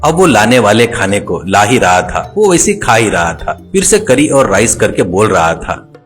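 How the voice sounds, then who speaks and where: A man's voice: on the low side, sing-song, moderate pace, soft background music. A man telling a comic story as its narrator.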